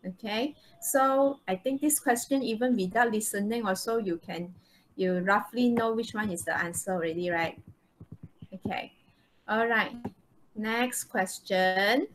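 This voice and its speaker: A woman's voice: medium pitch, unhurried. A woman with a Malaysian accent teaching a live online English lesson, explaining step by step and checking understanding. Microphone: video call microphone. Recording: noisy online video call.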